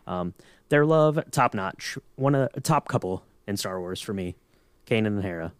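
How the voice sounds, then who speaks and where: A man's voice: lowish voice, expressive, moderate pace, no echo. A man chatting casually on a fan podcast in an easygoing, somewhat hesitant way. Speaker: podcast panelist.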